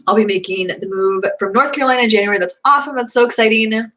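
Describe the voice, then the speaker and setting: A young woman in her twenties chatting casually through viewer questions, rambling with lots of fillers, her voice slightly hoarse. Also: fairly high pitch, fast, fairly bright tone, a little hiss or hum. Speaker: vlogger answering viewer questions. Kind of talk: casual live Q&A chat.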